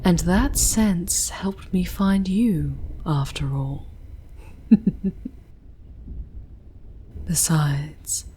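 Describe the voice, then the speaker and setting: A woman playing a female demon guide in an ASMR roleplay, speaking theatrically in a breathy voice that often slips into whispers. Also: fairly low pitch, lively intonation, very slow, mellow, a little hiss or hum. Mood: coaxing, intimate. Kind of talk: ASMR roleplay, dramatic monologue.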